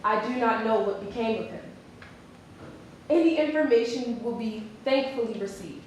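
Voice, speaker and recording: A woman's voice: a little high, moderate pace. Woman reading in a very echoey hall. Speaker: performer reading historical newspaper ads. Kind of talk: staged dramatic reading. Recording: very echoey hall, faint noise.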